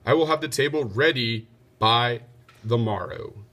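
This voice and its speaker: A man's voice: medium pitch, unhurried, bright, forceful tone. A man voicing a matter-of-fact, confident medieval carpenter in a children's storybook. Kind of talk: children's storybook read-aloud.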